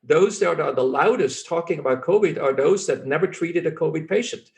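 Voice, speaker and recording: Middle-aged german guy talking english, kinda high voice, sure of himself, keeps restarting his sentences. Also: fairly quick, vocal fry, a little mellow. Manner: earnest, self-assured, restarts phrases often. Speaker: physician guest.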